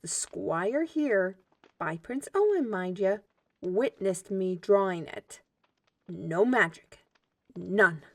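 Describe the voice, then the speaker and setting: A woman's voice: medium pitch, expressive, takes it slowly. Casting: actress for firm, spirited female artist in fantasy audio drama, middle register, explains with emphasis. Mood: defensive, insistent.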